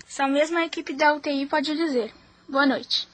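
A woman's voice: high-pitched, fairly even intonation, moderate pace, a bit bright. A woman playing a formal, detached hospital caller in a radio soap opera.

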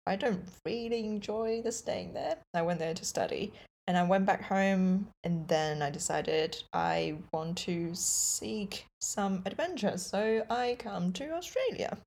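Slow-speaking young woman from Taiwan. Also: mid-range voice, creaky, a little mellow. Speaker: podcast guest. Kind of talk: casual podcast chat.

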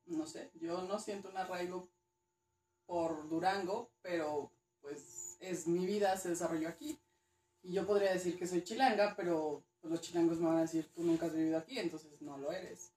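A woman's voice: lowish voice, steady tone, moderate pace, warm tone, roomy sound, distant mic, slight background noise, low-quality recording. A woman with a Mexican accent, a guest archaeologist chatting casually, hesitant and repeating words now and then. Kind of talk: casual interview chat.

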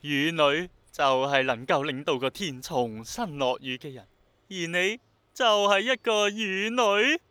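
An idealistic young Hongkonger in a radio play. His fairly high voice carries a Hong Kong Cantonese accent and a passionate, persuasive earnestness. The sound is very dry, like a studio. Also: expressive, measured pace, sharp tone, smooth, dry recording. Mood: earnest, excited. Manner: passionate, persuasive, romantic-minded. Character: earnest dreamy young man obsessed with bringing back rain. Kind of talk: Cantonese radio drama acting.

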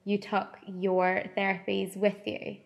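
A woman's voice: mid-range voice, completely flat delivery, slow pace, gravelly, dull audio. A woman, hosting a podcast and interviewing her guest with eager, attentive questions. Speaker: podcast host.

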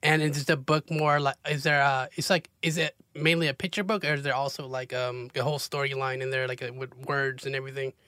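A man's voice: mid-range voice, flat delivery, fairly quick, hardly any pauses, pushed and bright, smooth, no echo, studio quality. A man hosting an interview, asking friendly, enthusiastic questions with frequent uhs. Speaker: interviewer, host. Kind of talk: casual interview.

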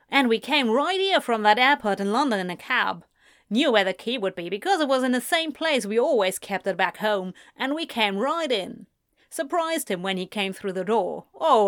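A woman's voice: mid-range voice, animated, normal speed, dry recording, studio quality, natural voice. A woman narrator voicing a chatty, friendly American mother who gushes informally in a story. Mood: chatty, cheerful.

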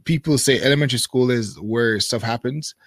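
A man's voice: mid-range voice, brisk, dry recording. Thoughtful man with a dry close sound. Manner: thoughtful, hesitant, many fillers. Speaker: interview guest. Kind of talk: casual interview conversation.

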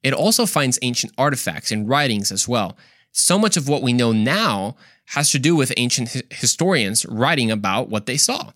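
A man's voice: medium pitch, big pitch swings, moderate pace, fairly bright tone, no echo. Playful male podcast host, close dry sound. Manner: playful, joking, with exclaimed asides and mimicked dialogue. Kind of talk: casual, conversational storytelling to listeners.

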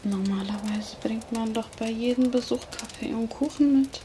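A woman playing a menacing, mocking female vampire who teases a captive in an ASMR roleplay, sardonic and drawn-out, at times slipping into whispers. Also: on the high side, close to an everyday voice. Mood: mocking, playful menace. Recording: loud noise and crackle over voice.

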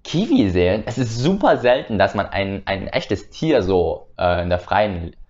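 A man's voice: lowish voice, big pitch swings, unhurried, a little mellow. Young German man telling a travel story. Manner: hesitant, many "äh" fillers and restarts. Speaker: channel host. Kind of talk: casual personal storytelling. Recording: slightly dull lo-fi sound.

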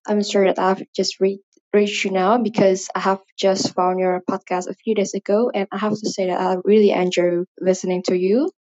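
Woman, Indonesian accent, non-native English, hesitant and rambling, mid pitch, dull lo-fi recording. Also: flat delivery, normal speed, darkish tone. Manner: rambling, hesitant, many fillers. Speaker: listener leaving a voice message. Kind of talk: casual spoken voice message.

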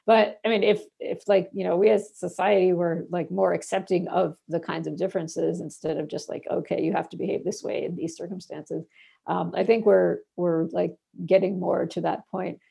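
A woman's voice: lowish voice, fairly even intonation, normal speed, vocal fry, dark tone, breathy edge. A young woman from Bangladesh, a researcher, answering interview questions in a rambling way full of fillers and restarts.

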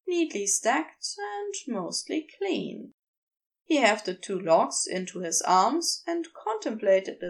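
A woman's voice: on the high side, vocal fry. A woman reading a scripted piece of fiction as its narrator.

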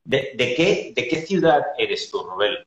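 Male interviewer, mid pitch, brief prompts; echoey muffled remote audio. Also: very animated, a little slow, a bit bright. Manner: brief prompting replies, hesitant fillers. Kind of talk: casual interview.

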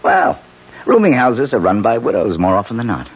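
An actor playing a brash, flirtatious newspaper reporter with an offhand manner in a 1970s radio drama. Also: male voice, lowish voice, big pitch swings, normal speed, husky. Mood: breezy. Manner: breezy, offhand, forward. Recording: dull lo-fi old radio broadcast.

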